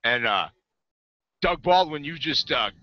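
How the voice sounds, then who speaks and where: A man's voice: on the high side, lively intonation, measured pace, highs cut off. A man giving casual colour commentary on a football game in slangy, informal phrases.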